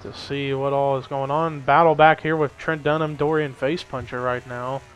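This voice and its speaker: A man's voice: mid-range voice, flat delivery, normal speed, a bit bright, lo-fi sound. A young man giving casual play-by-play commentary on a simulated race, chatty and lifting his energy at the big moments. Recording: faint background noise.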